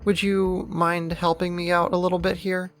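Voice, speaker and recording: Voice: medium pitch, little pitch movement, faint music behind, close to an everyday voice. A performer playing a possessive yandere neighbor who kidnaps, sweet yet menacing, in an intimate, coaxing ASMR roleplay monologue. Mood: friendly coaxing. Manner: coaxing, slow, deliberate, condescending endearments.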